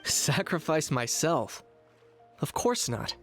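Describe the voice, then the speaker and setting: An actor playing a pragmatic, reserved young warrior in an anime video game, level-headed and matter-of-fact. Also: male voice, fairly high pitch, very animated, takes it slowly, fairly bright tone, faint music behind, exaggerated voice. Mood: cool, dry.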